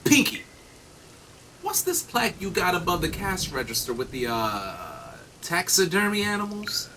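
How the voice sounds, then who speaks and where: A man acting as an excitable diner customer in a radio play, with a higher voice that swings widely. He is flustered and overly polite, eager to please. The recording is clearly noisy, with rain behind him. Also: measured pace, exaggerated voice. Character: excitable, ingratiating male diner customer killing time. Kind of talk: audio drama acting.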